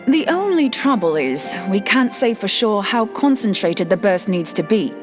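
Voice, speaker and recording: A woman acting a young fantasy-game scholar, brisk and wryly irreverent. Her delivery swings freely in pitch while staying plain-spoken, and music plays underneath. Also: big pitch swings, close to an everyday voice. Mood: matter-of-fact. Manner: plain-spoken, colloquial, wry.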